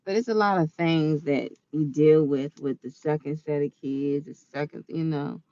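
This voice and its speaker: A woman around forty telling a personal story in a chatty, animated way, quick to laugh. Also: sits low, no echo, muffled recording. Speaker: vlogger.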